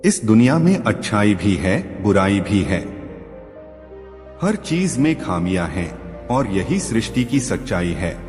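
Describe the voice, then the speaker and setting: A man's voice: lowish voice, very animated, slow, a little mellow. A man voicing a proud elderly male court scholar who speaks with formal reverence to an emperor. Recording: long hall reverb with music bed.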